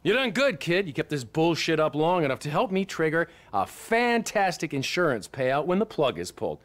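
A rich American male villain in a video game. He is smug and patronizing, gloating as he talks. His voice is high and very animated. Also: moderate pace. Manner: slick, self-satisfied, patronizing. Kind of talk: video game cutscene acting.

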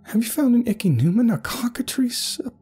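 A man voicing a wary, sharp-tongued young woman in a told story. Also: medium pitch, sing-song, a little fast, slightly rough, faint music behind, voice pushed up. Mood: scornful tirade.

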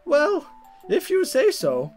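A woman's voice: high voice, huge pitch swings, slow pace, darkish tone, pitched above the performer's own voice, full character voice. A woman voicing a teasing, affectionate mother from an anime visual novel, with playful, exaggerated sweetness. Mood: gently teasing. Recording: music behind the voice.

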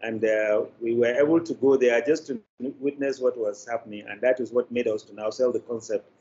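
A man's voice: medium pitch, steady tone, mellow. Older Nigerian man, dull noisy call. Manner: deliberate, formal wording with frequent pauses. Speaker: interview guest, media producer. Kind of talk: measured interview answers. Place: plain indoor room, video call.

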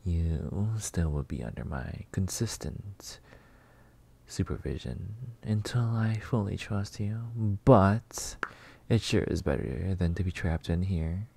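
A man playing a flirty, possessive, delusional vampire master in a roleplay ASMR monologue, teasing and theatrical with drawn-out words. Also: low voice, gravelly, dark tone, breathy edge, full character voice. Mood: possessive, controlling.

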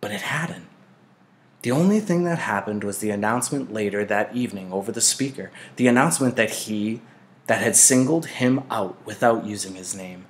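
Male narrator, lower register, expressive audiobook-style reading of fiction with deliberate phrasing. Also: slight background noise. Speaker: teacher reading a novel aloud.

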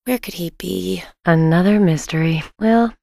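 Sweet, expressive suburban mom. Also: female voice, lowish voice, measured pace, gravelly, dry recording, clean studio sound. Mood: chatty, curious. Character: cheerful, doting suburban wife and mother, inquisitive journalist. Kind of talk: video game character voice acting.

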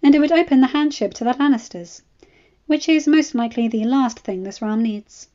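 A woman plays a sharp-witted noblewoman in a fantasy story. She speaks bluntly and with full self-assurance. The voice sits fairly high. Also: normal speed, highs cut off, lightly acted. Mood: blunt, confident. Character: sharp, bold noblewoman in hiding.

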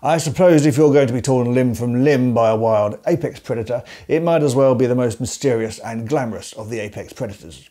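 A man from England delivers scripted comic narration with a dry, deadpan wit. His voice is mellow and relaxed, and the tone stays wry. He speaks close to the camera in a room at home. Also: lowish voice. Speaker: host. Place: indoors, seated at home. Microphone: facing camera at close range.

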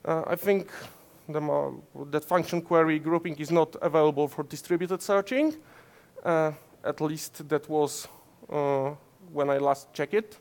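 Polish-accented man in his 30s, conference tech speaker, a little high-pitched, halting with fillers. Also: a little mellow. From Poland. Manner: many fillers and self-corrections, gesturing while explaining. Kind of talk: technical conference talk. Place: conference stage in front of slides, indoors.

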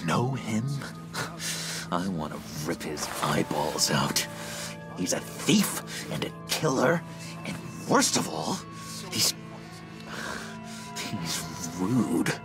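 Clingy creepy game villain, slow n halting, gravelly, wheedling, swings up and down. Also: male voice, low-pitched, big pitch swings, over loud music, full character voice. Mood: bitter disgust. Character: eager, unhinged, clingy young male schemer with sinister warmth. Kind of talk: video game character acting.

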